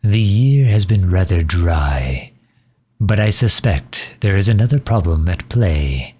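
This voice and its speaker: A man plays an earnest male vampire diplomat in an intimate audio roleplay. His voice is very deep, soft and husky. He speaks slowly, with formal, old-fashioned courtesy. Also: animated, full character voice. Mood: cautious, polite. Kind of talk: scripted ASMR roleplay, addressing the listener.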